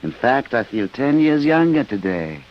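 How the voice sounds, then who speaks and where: An actor playing a placid, dreamily contented professor with an altered mind in an old radio drama. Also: male voice, mid-range voice, sing-song, lightly acted. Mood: beaming. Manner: slow, dreamy, beaming contentment. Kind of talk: 1950s radio drama acting. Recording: dull old radio recording.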